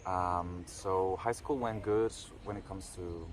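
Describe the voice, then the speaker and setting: A man's voice: deep voice, fairly even intonation, takes it slowly, slight background noise. A man of twenty-one talking casually to camera in a reflective vlog, hesitant, with many fillers and restarts. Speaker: vlogger.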